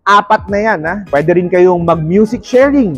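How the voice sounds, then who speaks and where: A man's voice: high voice, expressive, moderate pace, a bit bright. A man with a Filipino accent giving a playful, salesman-like product review in casual chat, mixing in English terms. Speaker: vlogger presenting a product unboxing. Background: music.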